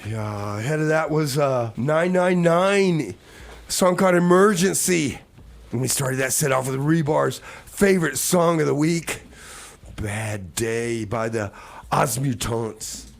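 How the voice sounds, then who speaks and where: A man's voice: fairly high pitch, lively intonation, a little slow, vocal fry. A man hosting a radio comedy and music show, chatting to listeners in a folksy, exclamatory, exasperated way. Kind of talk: live comedy radio banter and music announcing.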